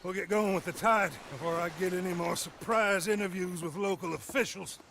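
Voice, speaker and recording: A man's voice: sits high, fairly even intonation, fairly quick, gravelly, fairly bright tone, slight background noise. A man acting a character in a video game drama. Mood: wry. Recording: faint music behind game dialogue.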